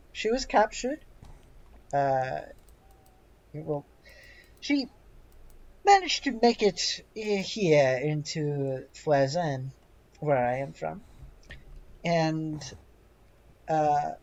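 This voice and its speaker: A woman voicing a genial but authoritative patriotic military commandant, formal and halting in speech. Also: sits low, darkish tone, exaggerated voice. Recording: dull lo-fi voice recording.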